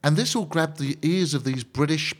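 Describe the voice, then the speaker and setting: A man's voice: on the high side, normal speed. A man, a band conductor, explaining as a radio guest in an enthusiastic, joking, digressive way.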